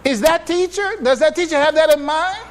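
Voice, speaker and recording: A man giving a religious public address as an imam, teaching with emphatic rhetorical questions, repetitions and deliberate, halting phrasing. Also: very high voice. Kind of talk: religious lecture, teaching to an audience.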